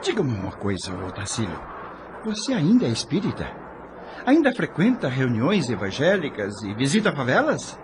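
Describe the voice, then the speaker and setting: A man's voice: medium pitch, wildly swooping pitch, moderate pace, background noise. An actor playing a jovial, boastful, worldly middle-aged man in an audio drama, hearty and self-satisfied, given to chuckling. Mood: boastful, challenging.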